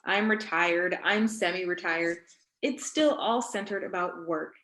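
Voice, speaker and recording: Woman doing a pushy doctor guest grilling someone, deliberate n pointed, play reading over a echoey call. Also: fairly low pitch, fairly bright tone. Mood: insistent. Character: probing, insistent woman doctor guest on a talk show. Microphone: video call microphone. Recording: echoey room on video call.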